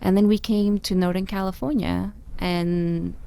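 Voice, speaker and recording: A woman's voice: mid-range voice, measured pace, vocal fry. A woman from Afghanistan, a podcast guest telling her life story candidly and animatedly. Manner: animated, acts out voices in her stories.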